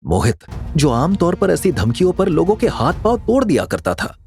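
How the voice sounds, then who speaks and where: A man's voice: on the high side, huge pitch swings, normal speed, a little mellow, faint music behind. A man narrating a scripted dramatic audio story.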